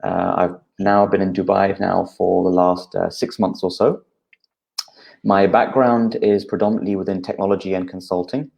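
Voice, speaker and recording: A man, a guest calmly explaining things in an interview, with frequent uh fillers. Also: sits low, normal speed, vocal fry, highs cut off. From United Kingdom. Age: 30s.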